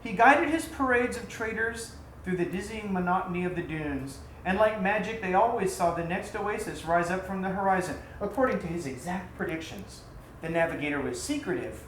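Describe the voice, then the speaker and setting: A man reading his own story aloud as a theatrical storyteller, with a dramatic, deliberate delivery. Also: high voice, lively intonation, fairly bright tone. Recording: roomy echo, faint background noise.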